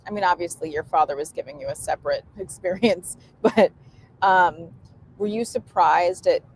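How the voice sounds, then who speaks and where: A woman chatting casually as a podcast co-host, quick to agree and chime in. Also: lowish voice, a little hiss or hum, rough recording. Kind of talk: casual chat.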